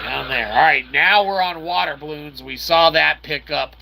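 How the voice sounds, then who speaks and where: Gravelly man doing gaming commentary. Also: a little high, normal speed. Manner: mock-formal, wordy phrasing mixed with slang; refers to himself as "we". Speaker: gaming channel host. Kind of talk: casual video game playthrough commentary. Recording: clearly noisy background.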